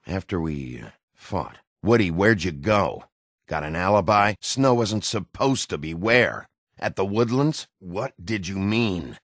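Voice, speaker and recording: An actor voicing a gruff, hot-tempered wolf detective in a video game, rough voice, blunt and threatening. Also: male voice, low voice, no echo, clean studio sound, full character voice. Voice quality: gravelly, rough voice. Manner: blunt, threatening interrogator, curses freely.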